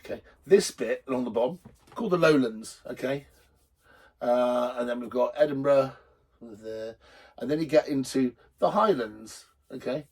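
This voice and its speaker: A middle-aged man presents a drinks show straight to camera. His voice is husky and sits at an ordinary male pitch. He talks off the cuff, rambling and joking, with blunt, sweary asides. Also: moderate pace, darkish tone. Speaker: host of a drinks video show. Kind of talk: casual, unscripted chat to camera.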